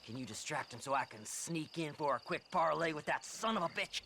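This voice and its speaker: An actor voicing a half-mad, greedy, filthy outlaw treasure hunter with an American accent and a manic, rambling delivery in a western video game. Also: male voice, a little high, lively intonation, a little fast, vocal fry, pushed and bright, a little hiss or hum, heavily acted. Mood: scheming, excitable. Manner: manic, rambling, erratic swings. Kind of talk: video game character acting.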